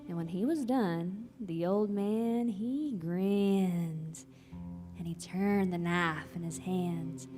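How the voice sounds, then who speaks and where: Woman telling a creepy backwoods tale live, unhurried and dramatic, music behind her. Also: on the low side, mellow. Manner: dramatic, rustic dialect, drawn-out phrasing. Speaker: storyteller. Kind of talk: theatrical folksy horror narration, live show. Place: live stage in a recreation center.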